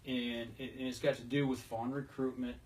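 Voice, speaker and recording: A man's voice: medium pitch, level tone, moderate pace, darkish tone. A man hosting a casual hunting talk show in a folksy, conversational way. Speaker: podcast host. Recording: distant mic, noticeable background noise.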